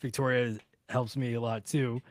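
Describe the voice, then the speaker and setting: A man's voice: mid-range voice, level tone, moderate pace, dry recording, clean studio sound. A man in his thirties chatting casually as a podcast producer and host, joking and self-deprecating.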